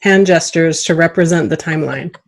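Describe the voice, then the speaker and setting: Lowish-voiced woman hosting a casual interview. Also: brisk, vocal fry. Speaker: host, interviewer.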